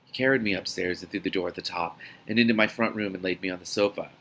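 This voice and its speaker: A man reading a novel aloud as host of a reaction series, in a dramatic, expressive manner. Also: sits low, fast talker, a little hiss or hum, rough recording.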